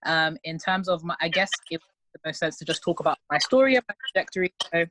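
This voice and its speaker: A young woman from North London, a postgraduate student, talking about herself in a quick, playful way on a podcast video call. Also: fairly low pitch, expressive, a bit bright, dry recording. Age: young adult. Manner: quick, playful, self-assured. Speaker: podcast co-host. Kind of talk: casual chat on a video call.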